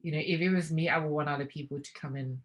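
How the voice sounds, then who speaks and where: A woman's voice: low voice, fairly even intonation, fast. A young woman talking casually as an interview guest, reflective, with hesitant restarts and light laughs.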